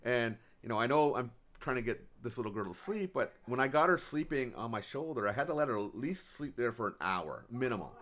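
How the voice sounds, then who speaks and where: A man's voice: medium pitch, expressive, fairly quick, hardly any pauses, a bit bright. A man co-hosting a casual chat about parenting, who rambles and restarts his sentences. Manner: rambling, restarts and laughs often. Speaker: podcast co-host.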